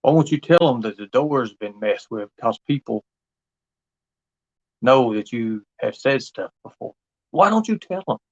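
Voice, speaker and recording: On dull, lo-fi livestream audio, a man talks at a middling pitch. He is insistent and repetitive, pressing his points in a confrontational monologue. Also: animated, unhurried, darkish tone. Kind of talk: impassioned monologue to an absent person.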